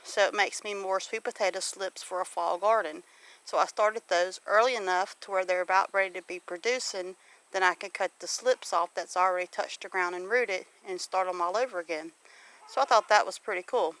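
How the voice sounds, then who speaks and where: A woman's voice: medium pitch, fairly quick, a bit bright, rough recording. A middle-aged woman with a Southern US accent chatting casually as a homesteader, folksy and given to putting questions to the listener. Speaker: homesteader vlogger. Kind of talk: casual chat. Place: outdoors on a homestead near farm animals.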